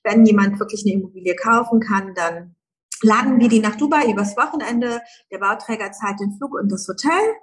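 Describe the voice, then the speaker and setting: A woman's voice: mid-range voice, a little fast. A middle-aged woman, a guest entrepreneur, talking casually in an interview, with many fillers and easy laughs.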